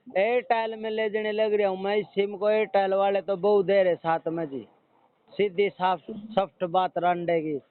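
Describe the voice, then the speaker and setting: A man's voice: sits high, flat delivery, normal speed. A man with a Haryanvi accent speaking as a caller on a phone line, in a rustic, blunt and persistent manner. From Haryana, India. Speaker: customer calling a helpline. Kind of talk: joking phone call. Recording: noisy, tinny phone call recording.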